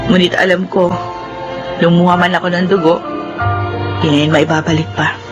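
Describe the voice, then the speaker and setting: A woman's voice: lowish voice, flat delivery, moderate pace, music in the background, close to an everyday voice. An actress playing a remorseful, grieving young woman in a Filipino radio drama, with an anguished, pleading delivery. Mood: sorrowful narrating.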